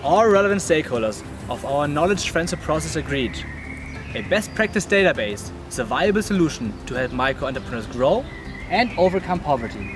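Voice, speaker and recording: A man's voice: fairly high pitch, big pitch swings, brisk, over music. A young man reading scripted narration as a student presenter.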